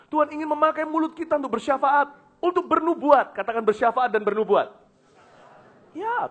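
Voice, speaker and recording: Animated high-pitched male preacher. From Indonesia. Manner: preaching with dramatic swings between loud and hushed delivery; mixes English phrases into his preaching. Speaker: pastor. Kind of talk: sermon.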